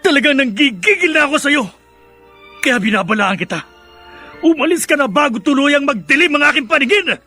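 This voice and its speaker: A man's voice: extremely high pitch, expressive, brisk, pushed and bright, faint music behind, heavily acted. A male actor with a Filipino accent playing an indignant, threatening, protective son in a radio drama. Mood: furious. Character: hot-tempered, protective grown son, hostile to intruder.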